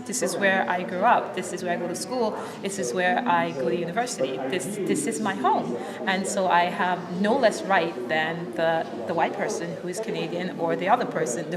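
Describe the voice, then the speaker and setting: A woman in her thirties, born in Jamaica, answering interview questions earnestly and with emphatic repeated phrases. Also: lowish voice. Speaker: social worker interviewee. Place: large church hall with rows of seats. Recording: hall reverb with loud crowd chatter.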